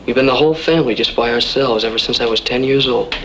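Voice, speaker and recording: A man's voice: medium pitch, fast talker, a bit bright, lightly acted. A man playing an earnest, loyal young cowboy in an old-time radio western, speaking plain country talk. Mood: torn, sincere. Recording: faintly noisy old radio recording.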